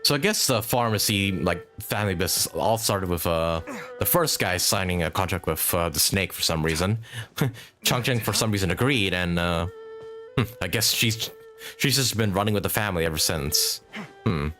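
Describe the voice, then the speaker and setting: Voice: low voice, expressive, normal speed, a bit bright, soft background music. A game streamer giving casual, giggly live commentary while musing aloud.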